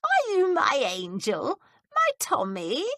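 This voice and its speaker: A woman voicing a frail, forgetful old woman with a quavery, sing-song voice who rambles slowly. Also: sits high, wildly swooping pitch, a bit bright, no echo, studio quality, pitched above the performer's own voice, heavily acted. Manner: rambling, slow.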